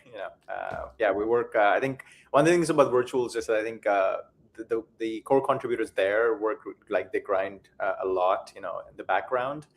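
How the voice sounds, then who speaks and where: A man who grew up between Nepal and South Africa, a guest on a show, answering modestly with many fillers. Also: on the low side. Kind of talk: casual interview.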